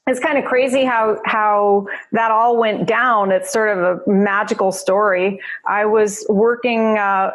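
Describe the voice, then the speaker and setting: A woman with a New Jersey accent, a mindfulness teacher chatting as a podcast guest, laughing often and talking with many fillers. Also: mid-range voice. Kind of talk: casual podcast interview.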